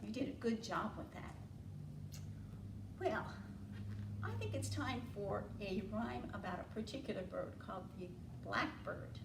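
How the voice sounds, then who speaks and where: An American woman leading an interactive storytime for preschoolers, asking simple questions and drawing out key words in an animated way. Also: mid-range voice, a little slow, gravelly, pauses often. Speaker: children's storytime librarian. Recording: roomy, distant mic, faint room noise.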